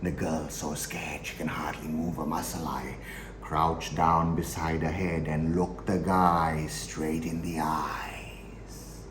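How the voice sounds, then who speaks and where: Male actor as a cold, matter-of-fact killer, theatrical monologue. Deep voice, deliberate pauses, hushed to forceful, roomy recording with faint noise. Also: little pitch movement. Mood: quiet menace. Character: chillingly matter-of-fact male psychopathic killer, darkly amused. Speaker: monologue performer.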